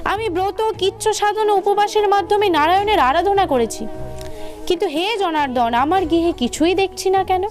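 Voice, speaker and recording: A woman's voice: very high-pitched, moderate pace, darkish tone, faint music behind, pitched above the performer's own voice. A woman voicing a proud, pious Brahmin woman in a devotional storybook tale, questioning insistently. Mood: indignant.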